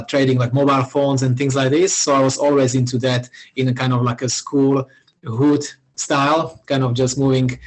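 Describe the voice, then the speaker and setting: A man from Slovakia with a Slovak accent, answering interview questions in a halting way with many pauses and restarts. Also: mid-range voice, poor audio. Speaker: podcast guest, founder.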